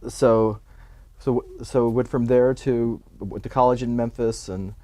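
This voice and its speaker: A man born in Cuba, a publicist, relaxed and rambling as he tells his life story in an interview. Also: mid-range voice, measured pace. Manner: relaxed, rambling, many restarts and fillers. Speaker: Hollywood publicist, guest.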